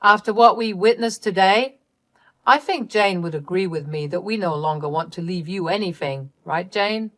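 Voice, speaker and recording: A woman's voice: lowish voice, moderate pace, no room sound, lightly acted. Woman playing a strict, coldly reproachful father. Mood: firm, disappointed. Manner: firm, cold, reproachful. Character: strict, betrayed father of adult daughters.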